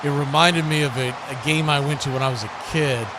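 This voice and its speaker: Male play-by-play streamer, slightly high voice, excited on the action and chatty between snaps, clear background noise. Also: fairly quick. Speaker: play-by-play host of a football watch party. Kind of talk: live play-by-play commentary with casual asides.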